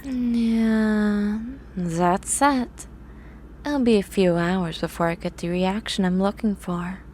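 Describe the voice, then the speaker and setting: A woman's voice: mid-range voice, slow, a little mellow, slight background noise, lightly acted. A woman voicing a grumpy, obsessive young horse-girl scientist in an ASMR roleplay, talking in a precise, scholarly, bossy way. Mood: focused, pleased.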